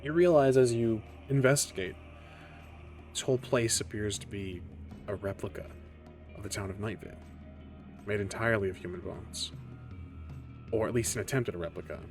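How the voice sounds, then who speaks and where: Deep-voiced man narrating a roleplaying game. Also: normal speed. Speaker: game master. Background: music under the voice.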